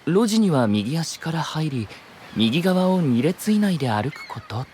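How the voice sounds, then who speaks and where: A gentle, composed knight companion in an anime audio drama. He speaks politely in a slightly high, expressive voice. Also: measured pace, a little hiss or hum. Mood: courteous, interested. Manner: courteous, formal polite speech.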